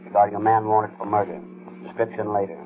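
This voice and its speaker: A man playing an American police dispatcher, reading a flat, official bulletin in clipped phrases. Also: lowish voice, fast talker, noisy background, lightly acted. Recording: muffled old radio, loud music.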